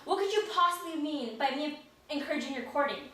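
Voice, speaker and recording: A woman with a put-on English accent playing a proper, sharp-tongued young lady who speaks crisp, formal period lines, recorded from a distance in an echoey room. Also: high-pitched, expressive, brisk. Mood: puzzled. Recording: echoey room, mic far off, audible noise.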